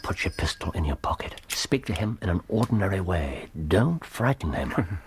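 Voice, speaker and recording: An actor playing a brilliant, self-assured Victorian detective in a British radio drama, with an English accent and a theatrical, erudite, precise delivery. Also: male voice, deep voice, big pitch swings, moderate pace, a little hiss or hum. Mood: hushed urgency.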